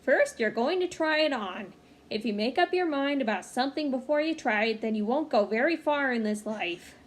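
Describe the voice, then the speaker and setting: A woman's voice: high voice, expressive, normal speed. A woman voicing a proud, practical mother in a storybook, speaking in a firm, matter-of-fact way. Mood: firm, reasoning.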